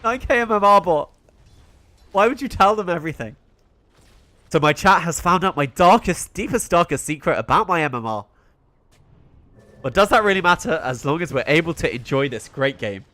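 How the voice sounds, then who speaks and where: A young man with a British accent casts a video game match on his own, calling the action and breaking off to answer his stream chat. His voice sits a little higher than most men's. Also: expressive, normal speed, long pauses, pushed and bright, dry recording. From Britain. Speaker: esports caster. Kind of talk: solo play-by-play commentary on a live stream.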